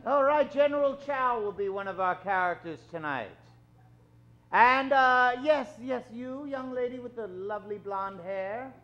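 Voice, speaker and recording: A man's voice: very high-pitched, expressive, a little slow, bright, forceful tone. Theatrical old-time radio host, man, muffled stage sound. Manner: theatrical, hesitant, courteous showman patter. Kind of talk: old-time radio announcer hosting live improv. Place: theater stage before a live audience. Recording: dull, lo-fi live stage recording.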